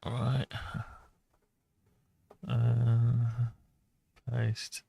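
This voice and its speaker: A man thinking aloud and muttering to himself through an unscripted software walkthrough. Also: mid-range voice, monotone, very slow, vocal fry, slightly rough. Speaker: hobbyist tutorial maker.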